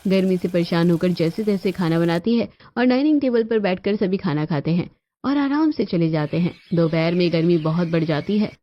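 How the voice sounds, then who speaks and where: A woman's voice: lowish voice, normal speed, mellow. A woman narrating a scripted story as a storyteller. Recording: band-limited audio with music behind.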